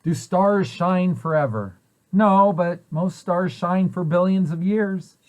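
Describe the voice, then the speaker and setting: A man with a fairly high-pitched voice reads a picture book aloud for young children. His delivery is explanatory, as an educator would give it, setting out questions and their answers. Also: takes it slowly, a little mellow. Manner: explanatory, poses questions then answers them. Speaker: preschool site director reading aloud to children.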